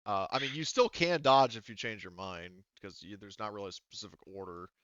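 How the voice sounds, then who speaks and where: A man acting as game master, casually running a tabletop game and thinking aloud in a halting way, on slightly dull audio. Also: lowish voice, a bit bright, no room sound. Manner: halting, talks through rules while thinking aloud.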